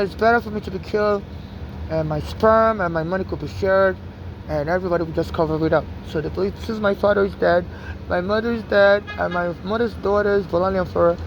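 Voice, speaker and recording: A woman's voice: fairly low pitch, a little hiss or hum, poor audio. A woman from Nigeria with a mixed Nigerian and American accent, talking urgently in a rambling street monologue full of restarts and "you know". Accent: Nigerian-American mix.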